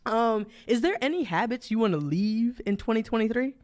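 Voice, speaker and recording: A man in his thirties with a New Jersey accent, co-hosting a podcast in relaxed, rambling chat full of fillers and laughs. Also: very high voice, moderate pace. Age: 30s. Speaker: podcast co-host. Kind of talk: casual chat.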